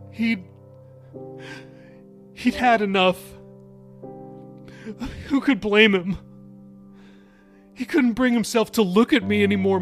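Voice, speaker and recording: Anguished young woman, played by a male narrator, high voice, choked with guilt, for audiobook drama over music. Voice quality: choked, sobbing. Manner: wailing, self-blaming. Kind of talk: audiobook character voice.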